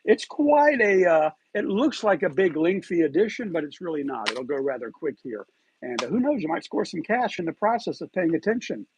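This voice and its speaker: A man's voice: on the high side, sing-song, brisk, muffled recording, slight background noise. A man hosting his own online commentary show, talking emphatically and often asking his viewers whether they follow him. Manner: emphatic, rhetorical, keeps asking "you feel me there?". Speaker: YouTube channel host. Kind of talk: scripted commentary monologue.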